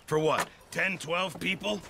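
An actor playing a defensive, rambling camp cook and old navy hand in a western game. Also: male voice, fairly high pitch, measured pace, gravelly, noisy background. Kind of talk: cinematic video game acting.

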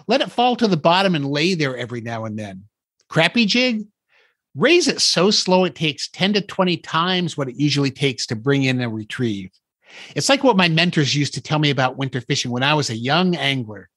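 Male host for scripted comic podcast, slightly high husky voice, recorded dry and close. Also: fairly bright tone, no echo. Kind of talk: scripted fishing tips in a comic skit.

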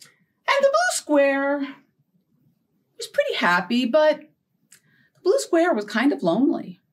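Children's storyteller: middle-aged American woman, very lively swooping intonation, unhurried delivery for little kids. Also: high-pitched. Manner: animated, encouraging, addresses young children with questions. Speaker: children's librarian leading storytime. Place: indoor storytime set with backdrop and felt board.

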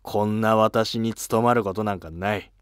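A man voicing a weary, resentful senior court minister in an animated historical drama, with lofty, curt, archaic speech. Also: lowish voice, moderate pace, smooth, no room sound, studio quality, natural voice. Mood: bitter, suspicious.

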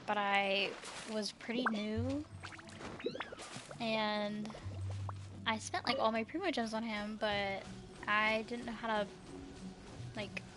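A young woman chatting casually as she streams a video game. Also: medium pitch. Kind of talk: casual livestream gameplay chat. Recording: game music behind.

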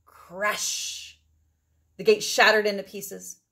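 A woman's voice: medium pitch, flat delivery, vocal fry, pushed and bright. Woman reading a picture book aloud expressively. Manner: dramatic phrasing with drawn-out words for emphasis. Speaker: storybook reader.

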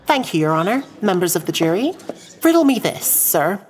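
A woman's voice: on the low side, big pitch swings, normal speed, big-room sound, a little hiss or hum. A woman playing a shrewd game lawyer in cross-examination, formal and sardonic. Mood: probing.

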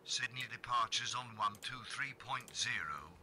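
A man's voice: medium pitch, expressive, moderate pace. A man voicing a businesslike airline pilot giving clipped readbacks over aircraft radio in a simulator game. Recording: sharp, faintly noisy radio audio.

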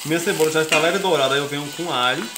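A man's voice: a little high, very fast, background noise. A young Brazilian man hosting a casual home cooking tutorial, walking viewers through each step in a friendly, chatty way. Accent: Brazilian Portuguese. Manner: chatty, explains each step and keeps addressing viewers as folks. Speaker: cooking show host. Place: home kitchen, indoors. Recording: echoey kitchen with background music.

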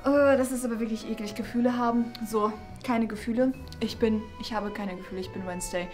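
A woman's voice: a little high, fairly even intonation, fairly quick, a little mellow, soft background music, close to an everyday voice. A young woman playing an awkward teenage goth girl in a web skit, flustered and stammering as she denies her feelings. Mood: awkward, defensive. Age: young adult. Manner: stammering, repeating words. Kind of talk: improvised web-video skit acting.

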